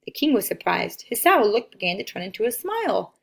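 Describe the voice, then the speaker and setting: A woman reading a children's picture book aloud as an expressive storyteller. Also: mid-range voice, a little fast, creaky, husky.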